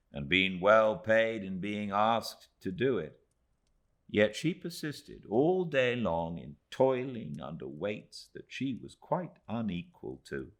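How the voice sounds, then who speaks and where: A British man reads literary fiction in a low, creaky voice. His delivery is expressive and measured, with dramatic phrasing and wide swings of intonation. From Britain. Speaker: audiobook narrator.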